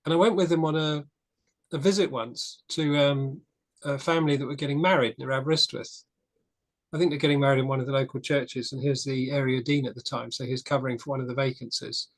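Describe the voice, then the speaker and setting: A man's voice: medium pitch, steady tone, fast. A man in a casual church discussion group, talking frankly and telling a story with restarts.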